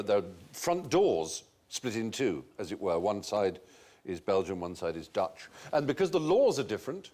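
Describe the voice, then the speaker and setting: Playful quiz host, lively voice. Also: male voice, mid-range voice. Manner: playful, theatrical, slips into mock foreign phrases. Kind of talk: comic quiz hosting. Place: TV studio with a live audience. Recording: loud studio audience laughter and noise.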